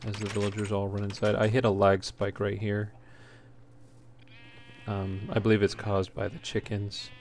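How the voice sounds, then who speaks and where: Low voiced guy doing a laid-back let's play, kinda rambling and hesitant, noisy home recording. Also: monotone, normal speed, pauses often. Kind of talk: casual gameplay commentary.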